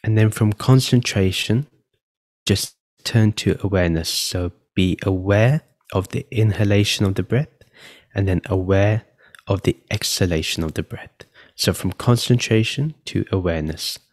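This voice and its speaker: A man with a low-pitched voice guides a meditation. He gives soft, unhurried spoken instructions, leaving pauses between short phrases. The delivery is that of a podcast host leading listeners through an exercise.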